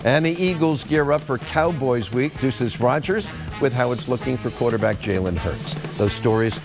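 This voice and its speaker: An older man with an American accent and a hoarse voice, reading the evening news as anchor in a measured, formal way. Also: medium pitch, music in the background.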